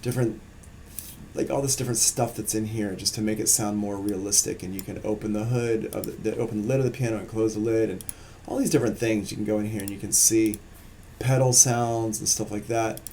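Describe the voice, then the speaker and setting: A man teaching music production in a casual, relaxed live-streamed software tutorial, explaining as he works on screen. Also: on the low side, fairly quick, gravelly, slight background noise.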